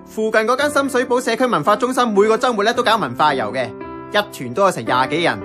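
An actor playing an earnest, persuasive tea-restaurant boss with an animated Hong Kong Cantonese delivery in a radio drama. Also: male voice, high-pitched, quick pace, music in the background. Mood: eager. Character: earnest, scheming small tea-restaurant boss rallying his staff.

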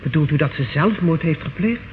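A man plays a dutiful prison warder in a radio play, with a fairly high, anxious voice. He reports respectfully, in alarm. The old recording sounds thin and phone-like. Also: expressive, fairly quick, a little hiss or hum, lightly acted. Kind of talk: old radio drama acting.

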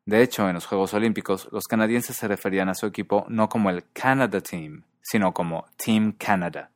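Male podcast host, very low pitch, dry studio sound, measured explanatory read. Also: dry recording. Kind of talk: scripted podcast narration.

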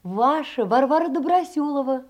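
A soft, mellow-voiced, tender young woman. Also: sits high, lively intonation, normal speed. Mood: wistful, anxious. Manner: emotional, tender, often dropping to a breathy whisper. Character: poor, lonely, tender-hearted young seamstress writing letters. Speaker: stage actress. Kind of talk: theatrical audio-play monologue of letters.

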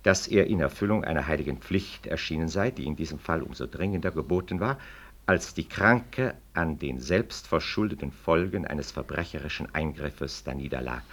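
Radio drama: low-voiced, self-righteous male professor, formal, with sweeping emphatic intonation. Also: lively intonation, normal speed, heavily acted. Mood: declamatory, pointed. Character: pompous, self-righteous senior medical professor. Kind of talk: 1960s German radio play acting.

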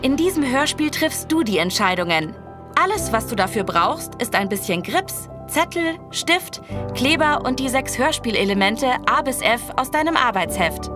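Woman narrator, highish voice, scripted game instructions, direct address to young listeners, music behind. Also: big pitch swings, moderate pace.

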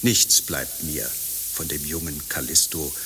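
An actor playing a timid, tormented runaway monk in his forties in a radio play, halting, overwrought and apologetic. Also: very deep voice, fairly even intonation. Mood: pained. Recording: hissy, humming recording with long reverb.

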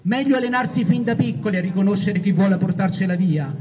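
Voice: mid-range voice, fast talker, a little hiss or hum. A reader at a theatre event, reading a prepared text aloud. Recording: reverberant hall, distant mic, dull sound.

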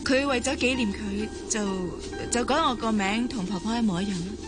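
A woman's voice: mid-range voice, moderate pace, natural voice. An actress playing a guarded, secretive young woman in a radio drama, with a Hong Kong Cantonese accent. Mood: hesitant. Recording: music behind the voice.

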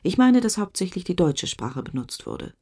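A woman playing a German communist cipher clerk giving sober, measured testimony in a radio documentary drama. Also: fairly low pitch, big pitch swings, warm tone, husky. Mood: reflective, factual.

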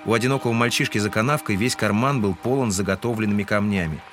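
A man's voice: lowish voice, fast, fairly bright tone. A man giving scripted literary narration in an audio drama. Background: music.

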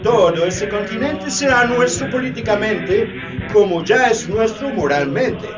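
High-pitched pompous male president. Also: animated, measured pace. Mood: pompous, triumphant. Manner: pompous, declamatory oratory. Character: grandiose, arrogant male US president declaiming imperial ambitions. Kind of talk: radio drama acting. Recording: dull lo-fi audio under music.

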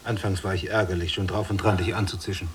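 A man's voice: deep voice, steady tone, quick pace, a little hiss or hum, close to an everyday voice. A man acting in a literary radio drama as an observant, sceptical younger prisoner, speaking in dry, articulate phrases. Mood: fascinated, reflective.

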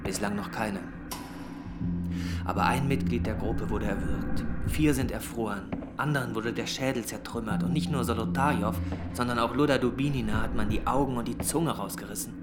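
An actor playing an earnest, determined son who investigates in a sober, probing way, in a radio drama. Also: male voice, medium pitch, normal speed, close to an everyday voice. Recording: loud music behind.

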